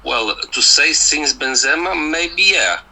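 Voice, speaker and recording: A man's voice: on the high side, expressive, slow, vocal fry, sharp tone, poor audio. A man with a Polish accent, a native Polish speaker, giving casual football analysis as a scouting guest and often stammering over repeated words. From Poland.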